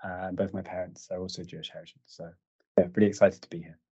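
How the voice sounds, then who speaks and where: A man introducing himself as a podcast panel guest in a relaxed, slightly hesitant way. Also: low-pitched, quick pace, breathy edge, dry recording. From United Kingdom.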